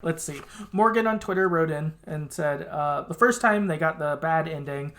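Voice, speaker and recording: A man's voice: on the high side, normal speed, a bit bright. A man co-hosting a podcast, talking casually and reflectively about video games in a conversational way. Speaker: podcast co-host. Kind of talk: casual video game discussion.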